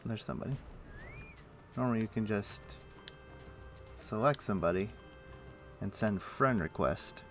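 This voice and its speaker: Low, gravelly male game streamer. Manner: halting, muttering to himself, thinking aloud. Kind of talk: casual live-stream chat while playing an online game. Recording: faint music behind the voice. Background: faint music.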